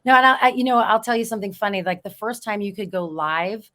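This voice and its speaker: A hoarse woman, a real estate agent, chatting in an interview and telling stories with easy laughter. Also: mid-range voice, quick pace, a bit bright. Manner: chatty, storytelling, laughs easily. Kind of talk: casual interview chat.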